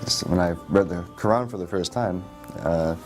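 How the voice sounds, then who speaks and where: A man from New York State with an American accent, telling a personal story deliberately in short, emphatic phrases. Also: deep voice, a little mellow. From Schenectady, New York, United States. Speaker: interviewee telling a conversion story. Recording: music playing behind the voice.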